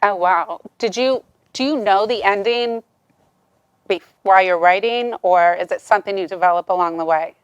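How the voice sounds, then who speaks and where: A woman's voice: mid-range voice, normal speed, clear, resonant tone, no room sound, lo-fi sound. A woman interviewing an author, chatting casually and encouragingly. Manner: enthusiastic, encouraging.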